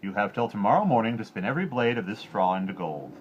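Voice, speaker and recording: A man's voice: fairly low pitch, very animated, fast talker, muffled recording, slight background noise. Man playing a lofty, greedy king, swooping delivery. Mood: commanding. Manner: lofty and commanding. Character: greedy, bossy storybook king.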